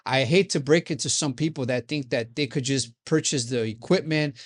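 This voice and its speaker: A man's voice: mid-range voice, moderate pace. A man from Chicago hosting an interview, talking in a loose, rambling way that is full of repeated words and restarts. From Chicago, United States. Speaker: podcast host. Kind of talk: rambling casual interview chat.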